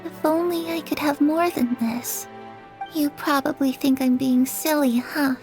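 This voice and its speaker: A woman's voice: high voice, steady tone, measured pace, darkish tone, soft background music. A woman playing a disillusioned young girl in a video game drama, wistful, bitter and resigned.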